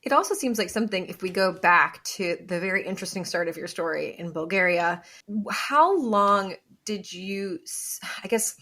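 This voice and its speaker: A young woman hosts a podcast in an enthusiastic, chatty way and laughs easily. Her voice sits at a mid pitch. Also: normal speed, bright, forceful tone. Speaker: podcast host. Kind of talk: podcast interview.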